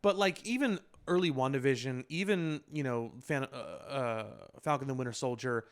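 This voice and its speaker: A man's voice: medium pitch, moderate pace, clear, resonant tone. A man co-hosting a casual pop culture discussion, talking in a discursive, opinionated way with elaborate wording. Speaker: podcast co-host.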